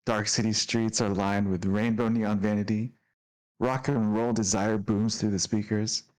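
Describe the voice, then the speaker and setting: A man hosting and narrating a tabletop roleplaying game. His voice sits a little low, and he talks in an upbeat, descriptive way, laughing easily with his players. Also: steady tone, a little slow, a little mellow, low-quality recording. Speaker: dungeon master.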